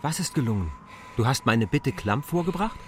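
An actor playing a stubborn, impatient, reproachful land surveyor in a radio drama, terse and self-assured. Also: male voice, mid-range voice, expressive, normal speed, a little hiss or hum, lightly acted. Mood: urgent.

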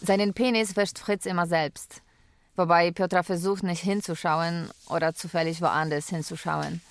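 A woman playing a carer who narrates a daily routine in a radio drama, measured and matter-of-fact. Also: on the low side, steady tone, a little hiss or hum, close to an everyday voice.

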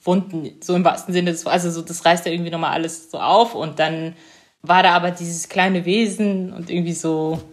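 A woman's voice: fairly low pitch, steady tone, brisk. A young woman, an author, talking reflectively in an interview, hesitant and searching for her words with many small fillers.

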